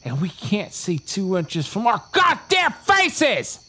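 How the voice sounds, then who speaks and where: A man's voice: high-pitched, huge pitch swings, takes it slowly, bright, forceful tone, exaggerated voice. An actor playing a hot-tempered, profane outlaw who threatens his hostages. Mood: menacing. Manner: threatening, profane, bossy drawl. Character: menacing Southern outlaw man, hot-tempered kidnapper. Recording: background music under the dialogue.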